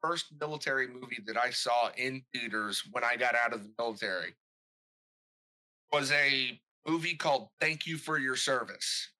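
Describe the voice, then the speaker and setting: A man's voice: mid-range voice, moderate pace, pushed and bright, low-quality recording. A man, a military veteran, chatting casually on a podcast and telling stories. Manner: storytelling, steers the conversation.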